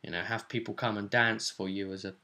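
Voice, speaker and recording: A man's voice: on the low side, level tone, brisk, sharp tone. A man giving a casual, rambling recap of a tabletop role-playing session as the game master.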